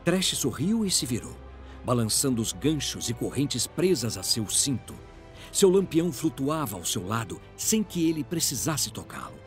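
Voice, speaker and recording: Expressive Brazilian male storyteller. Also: medium pitch, moderate pace, soft background music. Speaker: narrator. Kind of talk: dramatic scripted narration of a fantasy audio drama.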